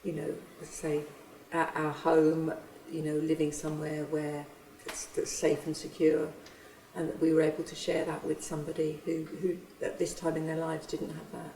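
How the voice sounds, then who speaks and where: Earnest woman giving testimony; gravelly, mellow, recorded distant in a reverberant space. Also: low voice, monotone, slight background noise. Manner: earnest, halting with restarts. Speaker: church member and refugee host. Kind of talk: reflective testimony. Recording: roomy, from a distance.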